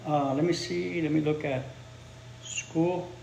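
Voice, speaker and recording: A man's voice: a little high, steady tone, slow pace, gravelly, a little mellow, slight background noise. A man whose first language is Spanish, teaching English and chatting casually with viewers on a livestream, often repeating his phrases for learners. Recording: roomy echo, faint ambience.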